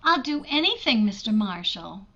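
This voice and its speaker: A woman's voice: mid-range voice, very animated, moderate pace, pushed and bright, lo-fi sound, full character voice. A woman acting an earnest, emotive schoolteacher in her thirties in a 1940s-style radio romance. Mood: anxious, confessing.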